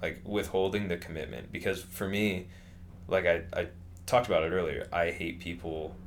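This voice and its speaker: A young man in his twenties talks in a loose, rambling way, full of fillers and restarts. His voice sits very low. Faint background noise runs under the chat. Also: little pitch movement, moderate pace. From California. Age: 20s. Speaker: interviewee. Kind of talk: casual conversation.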